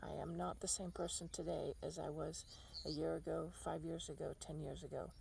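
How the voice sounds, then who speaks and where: Woman, low gravelly voice, reflective solo talk, recorded outside with a faint hiss. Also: little pitch movement, darkish tone. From Argentina. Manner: thoughtful, unhurried, reflective. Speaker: podcast host. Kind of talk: reflective personal monologue. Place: outdoors on a bench.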